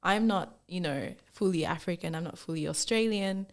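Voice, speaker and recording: A young woman from Zambia chats freely as an interview guest, with a lowish voice that has a touch of vocal fry. She tells her story in an animated way, filling it with "like" and acting out what people said to her. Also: moderate pace. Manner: animated storyteller, acts out quoted remarks, many "like"s. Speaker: fashion brand founder, guest. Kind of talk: casual interview chat.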